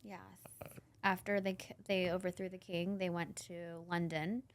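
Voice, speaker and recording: A woman in her thirties from Northern Virginia, a podcast guest telling her story in a chatty, animated way and laughing often. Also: fairly low pitch, normal speed. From Northern Virginia, USA. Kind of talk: casual interview chat.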